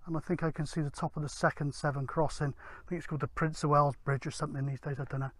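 A man's voice: on the high side, level tone, fast talker, a bit bright, dry recording. A middle-aged British man giving casual, rambling, matter-of-fact narration for an outdoor vlog. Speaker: amateur CB radio vlogger. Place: open moorland hilltop, outdoors. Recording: windy outdoor handheld camera recording. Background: wind and outdoor ambience.

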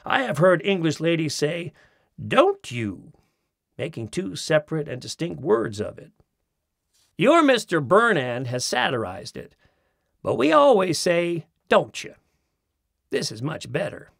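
Gravelly-voiced man reading wryly. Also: on the high side, wildly swooping pitch, measured pace, a bit bright, dry recording. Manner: mimics accents in quoted phrases, dry wit. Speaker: audiobook narrator. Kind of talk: expressive reading of a humorous essay. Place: studio. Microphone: close studio mic.

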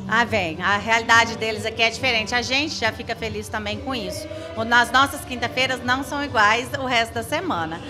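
A woman's voice: a little high, fairly quick, few pauses. A Brazilian woman in her thirties answering a TV interview into a handheld microphone indoors. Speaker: social assistance centre staffer. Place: small indoor room. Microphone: handheld reporter microphone. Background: music.

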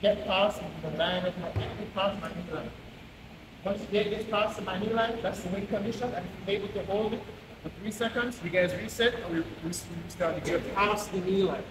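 A man's voice: high voice, normal speed, far from the mic. A man coaching a jiu-jitsu class, explaining positions step by step in a large indoor gym. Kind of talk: instructing a class. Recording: echoey gym with background noise.

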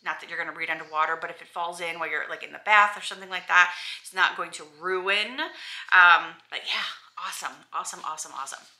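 A woman's voice: on the low side, fast, bright, forceful tone. A woman in her forties vlogging casually from her bedroom at home, chatty and rambling with lots of ums and restarts. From Alabama, USA. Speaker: lifestyle vlogger. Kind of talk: casual chat to camera.

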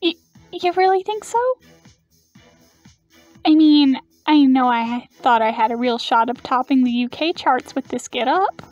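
A woman's voice: high-pitched, measured pace, darkish tone, highs cut off, faint music behind. A woman voicing a brash, vain young demon girl who is a showy metal idol in a game. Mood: proud. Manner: showy, boastful.

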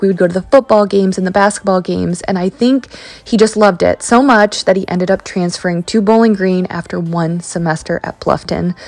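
A woman's voice: fairly low pitch, a little mellow, no echo. A woman in her thirties chatting casually as a home cleaning vlogger, telling a personal story with lots of ums and likes. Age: 30s. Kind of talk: casual chat over cleaning footage. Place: carpeted home basement and small tiled bathroom.

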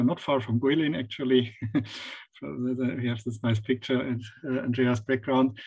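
A man introducing a guest speaker at an online seminar over a video call, halting, with many pauses. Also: medium pitch, a little mellow, muffled recording. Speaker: event host introducing a speaker.

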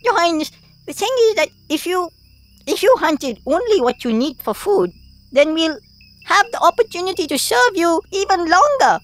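A woman's voice: very high-pitched, sing-song, moderate pace. A woman voicing a small, nervous but clever male hare in a cartoon, stammering and deferential. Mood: nervous, respectful. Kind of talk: cartoon voice acting.

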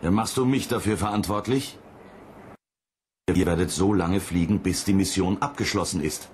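An actor playing a gruff, brusque, domineering male space mission chief in a radio play. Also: deep voice, moderate pace, slight background noise, lightly acted. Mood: irritated, commanding. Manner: brusque, authoritarian. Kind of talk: German radio play acting.

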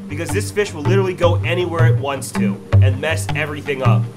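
A man's voice: on the high side, fast. A young man eagerly narrating his first salmon catch as a voice-over. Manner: excited, earnest storytelling. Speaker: vlog narrator and angler. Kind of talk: voice-over narration of a fishing trip. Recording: voice-over with loud background music.

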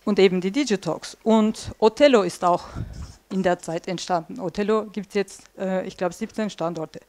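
Woman in her forties, relaxed conference speaker on a headset mic, lots of filler sounds, middle pitch. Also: normal speed. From Turkey. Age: 40s. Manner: chatty, many filler sounds, drawing on a flip chart while talking. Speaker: speaker at a tech policy evening. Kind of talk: informal conference talk. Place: small dim event room indoors.

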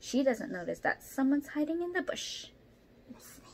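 A young woman reading a picture book aloud expressively at home, pausing to ask child listeners questions. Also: high-pitched, big pitch swings, whispered. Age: young adult. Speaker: storyteller for children. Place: small room at home, indoors.

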